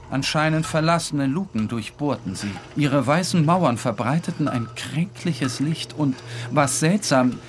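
A man giving a dramatic first-person literary narration, brooding, with phrases broken by pauses. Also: fairly high pitch. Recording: clear ambient background noise.

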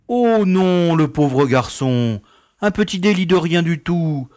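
A man acts out a genial prison director in a radio comedy. His voice swings animatedly over a fairly high pitch, at an unhurried pace. He is courteous, paternal and pleased with his own importance. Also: expressive, darkish tone, no room sound, clean studio sound. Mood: genial, self-satisfied. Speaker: actor in an audio drama. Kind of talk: French radio comedy acting.

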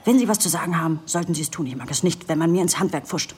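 An actress playing a cheeky young student in a radio drama, telling gossip briskly and mimicking others. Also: female voice, sits low, darkish tone, lightly acted. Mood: gleeful gossip. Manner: brisk storyteller, mimicking gruff quotes. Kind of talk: German radio drama acting.

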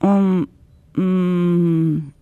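A woman's voice: fairly low pitch, fairly even intonation, extremely slow, no echo, narrow, tinny audio. A woman playing a hesitant, noncommittal character in an improvised radio theatre exercise. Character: hesitant, evasive woman asking for a loan.

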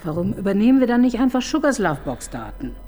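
A woman's voice: mid-range voice, big pitch swings, quick pace, warm tone, faint music behind. An actress in her fifties playing a dry, sardonic woman police chief inspector in a radio crime drama. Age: 50s. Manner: dry, sarcastic.

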